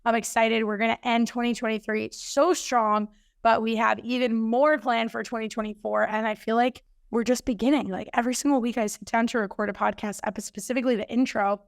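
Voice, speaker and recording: A woman hosting a fitness podcast, slightly hoarse, chatting upbeat about coming news. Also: fairly high pitch, flat delivery, brisk, no echo, clean studio sound. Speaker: podcast host and fitness coach. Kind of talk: casual solo podcast intro.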